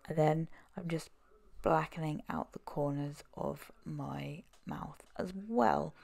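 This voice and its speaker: Woman with a cold, husky and deeply gravelly, in a dry close voice-over for a makeup tutorial. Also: darkish tone, no echo. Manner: chatty and self-deprecating, with frequent ums. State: has a cold. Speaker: makeup vlogger.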